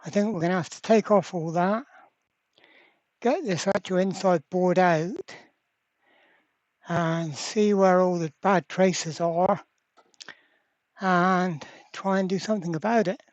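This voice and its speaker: A presenter gives casual commentary while working at a bench. The delivery is slow and a little hesitant, as if thinking each step through aloud. The sound is close and dry, but somewhat dull and lo-fi. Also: mid-range voice, no room sound. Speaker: repair video presenter. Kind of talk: casual hands-on workbench commentary.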